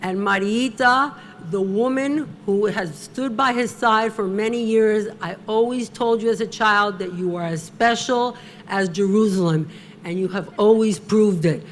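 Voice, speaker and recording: A middle-aged woman presenting an award at a gala, giving a slow, deliberate, emotional tribute speech at a podium microphone. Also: mid-range voice, a little hiss or hum.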